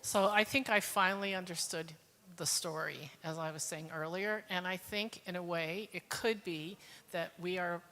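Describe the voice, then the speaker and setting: Woman, congregant, slightly low voice, thoughtful unscripted remarks, reverberant hall. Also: normal speed, bright, forceful tone. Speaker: congregant sharing a reflection. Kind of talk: reflective spontaneous comment. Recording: echoey hall with long reverb.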